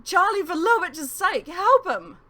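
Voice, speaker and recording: A woman reading an audiobook, voicing an excitable young male friend in urgent, exclamatory dialogue. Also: measured pace, exaggerated voice. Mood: panicked shrieking. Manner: exclamatory, urgent, voice pushed high. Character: Talgith, excitable young male friend, teasing, shrieking in panic.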